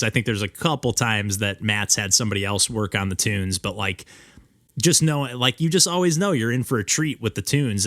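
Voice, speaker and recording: A man's voice: lowish voice, a bit bright, dry recording, studio quality. A man hosting a podcast, chatting casually over a remote call and rambling with lots of filler words and restarts. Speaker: podcast host. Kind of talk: casual interview chat.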